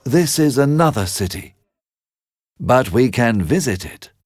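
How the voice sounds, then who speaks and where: A man's voice: mid-range voice, animated, measured pace, no echo, pitched above the performer's own voice. Guy doing a storybook soul that tempts you, soothing and sly, drawn out, dry sound. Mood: sly, commanding. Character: a fisherman's soul, calm, coaxing, sly tempter.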